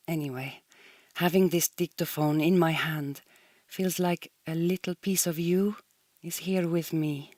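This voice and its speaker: A woman's voice: deep voice, a little mellow, no room sound, studio quality. An actress playing a proud, homesick Hungarian migrant woman in a radio drama, speaking a diary in slightly stilted, formal English with a Hungarian accent. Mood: tired, tender. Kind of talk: recorded audio diary monologue in a radio drama.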